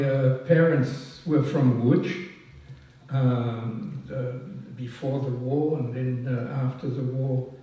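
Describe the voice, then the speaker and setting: An older man, born in France, giving a halting, self-deprecating speech at a ceremony, full of ums and restarts. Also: mid-range voice, warm tone. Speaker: past president honoured at a society oration. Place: large hall at a public event. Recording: echoey hall, voice from far off. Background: audience laughing.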